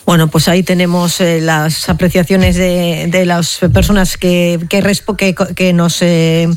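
A male radio host with a high voice and a northern Spanish accent. He chats casually and rambles, hesitating as he goes, in a dry close recording. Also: little pitch movement, a little slow, clean tone, no room sound. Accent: northern Spain. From Bilbao, Spain.

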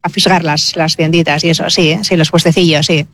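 A woman's voice: deep voice, steady tone, brisk, a bit bright. A woman from the Basque Country who walked to a pilgrimage, chatting in an easygoing, cheerful way.

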